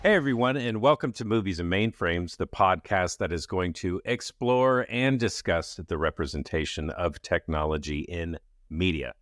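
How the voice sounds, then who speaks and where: A man's voice: low voice, normal speed, a bit bright, no echo, studio quality, soft background music. A man hosting a podcast, talking in an upbeat, enthusiastic way. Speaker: podcast host. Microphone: studio mic.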